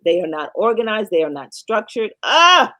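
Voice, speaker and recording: A woman's voice: mid-range voice, big pitch swings, normal speed, bright, forceful tone. A middle-aged woman speaking as a coach and guest in a podcast interview. She explains in an emphatic, teacherly way and drives her points home through repetition.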